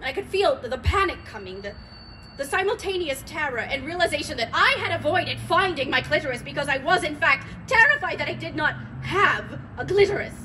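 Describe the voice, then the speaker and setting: A woman's voice: high voice, animated, a little fast, pushed and bright. A woman performing a dramatic monologue as an anxious, earnest woman baring an intimate memory. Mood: anxious, overwhelmed. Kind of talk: dramatic monologue in a staged reading. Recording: live stage reading, audible background noise.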